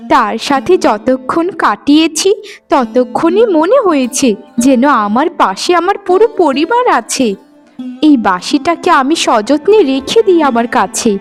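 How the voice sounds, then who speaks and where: Woman acting as young fairytale maiden, high voice, sweet, innocent, earnest, cartoon dialogue over music. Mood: tender, musing.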